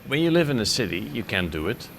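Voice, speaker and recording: A man's voice: on the low side, sing-song, quick pace, creaky, fairly bright tone, a little hiss or hum. A man from the Netherlands, moderating a conference stage interview in a curious, conversational way with light humor.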